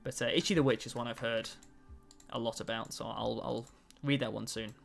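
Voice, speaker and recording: A man's voice: medium pitch, fairly even intonation, a little fast, vocal fry, faint music behind. A man in his twenties chatting casually on a livestream, answering chat and joking at his own expense. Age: 20s. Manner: chatty, self-mocking, answers chat between tasks. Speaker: streamer.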